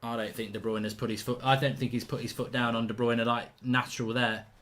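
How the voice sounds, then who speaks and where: A man's voice: medium pitch, little pitch movement, fairly quick, a bit bright. Blunt man hosting a live match watchalong. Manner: chatty, reactive, blunt and indignant with mild swearing. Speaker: football watchalong host. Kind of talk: live match watchalong commentary reading viewer chat.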